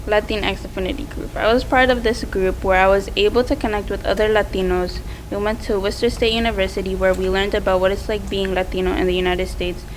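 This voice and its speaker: A woman's voice: mid-range voice, steady tone, brisk, few pauses, low-quality recording. Teen girl reading her prepared speech about herself, bit of background noise. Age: teens. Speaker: student award presenter.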